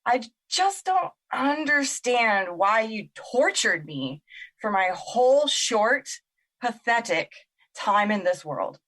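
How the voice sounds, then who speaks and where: A woman's voice: a little high, sing-song, takes it slowly, fairly bright tone, heavily acted. A woman playing a skeptical, bitter young girl in an audio drama, emotional and sardonic. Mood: bitter, hurt.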